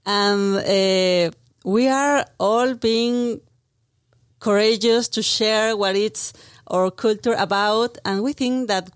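A woman's voice: mid-range voice, slow, smooth, dry recording. Earnest Mexican woman, dry studio. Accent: Mexican Spanish. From Mexico City. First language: Spanish. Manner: earnest, laughs often, frequent restarts. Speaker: brand marketing manager, guest. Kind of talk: radio interview. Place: radio studio.

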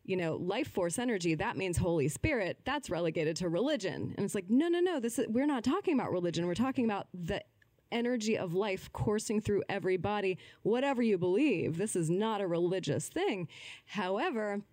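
A woman's voice: mid-range voice, expressive, a little fast, a little mellow, dry recording. A woman talking casually as an interview guest, explaining things and acting out imagined quotes.

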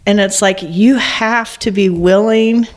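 A woman's voice: medium pitch, fairly even intonation, unhurried. A woman guest on a business radio show, speaking in a plain, earnest, down-to-earth way. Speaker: financial business owner, guest. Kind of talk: casual interview.

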